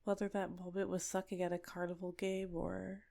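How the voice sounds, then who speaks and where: Woman acting as lovestruck kitsune wife, female, ASMR roleplay, breathy whispery murmur, very even pitch, tender, possessive, soothing. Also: moderate pace. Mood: tender, doting. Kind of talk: intimate ASMR roleplay, whispered and soft-spoken.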